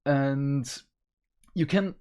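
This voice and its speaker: A man's voice: medium pitch, extremely slow, very noisy. A man co-hosting a review show in casual chat, speaking hesitantly as he searches for words. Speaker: co-host of an animation review show.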